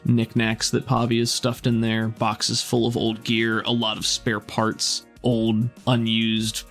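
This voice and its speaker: A man running a tabletop role-playing game as game master, narrating scenes in a relaxed, descriptive way. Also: on the low side, takes it slowly, gravelly, soft background music.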